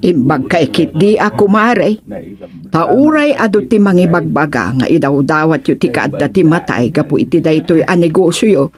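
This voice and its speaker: An actress with an Ilocano accent playing a gossipy, nosy village neighbour in a radio comedy-drama. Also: female voice, low-pitched, lively intonation, moderate pace, darkish tone. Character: gossipy village woman, friend of the funeral owner.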